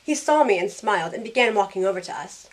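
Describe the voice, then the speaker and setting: A sarcastic young woman tells her own story in an audio drama, acted by a woman. Her voice sits at a middle pitch and moves between narration and dialogue in a self-questioning way. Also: expressive, fast talker, lightly acted, poor audio. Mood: bright, playful.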